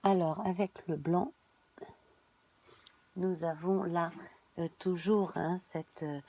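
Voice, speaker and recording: A woman's voice: on the low side, steady tone, slow pace, mellow, slight background noise. Woman with a cold reading tarot. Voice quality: hesitant, many fillers and restarts. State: has a cold. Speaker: tarot reader.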